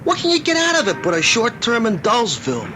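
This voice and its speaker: An actor playing a cynical, scheming young hustler in old-time radio drama, slangy and insinuating. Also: male voice, very high voice, huge pitch swings, quick pace, background noise, full character voice. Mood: pushy, taunting.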